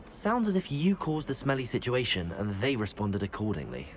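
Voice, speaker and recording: An actor playing a polite, helpful British teenage student in a video game, measured and well-spoken. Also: male voice, medium pitch, huge pitch swings, slight background noise. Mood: curious, composed. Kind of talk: video game cutscene acting.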